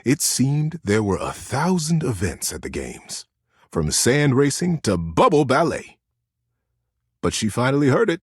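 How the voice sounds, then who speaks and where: A man tells a children's story with dramatic emphasis and gentle suspense. His mid-range voice sweeps widely up and down with a soft husk to it. The recording is dry and close. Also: medium pitch, big pitch swings, a little slow, breathy edge, no room sound.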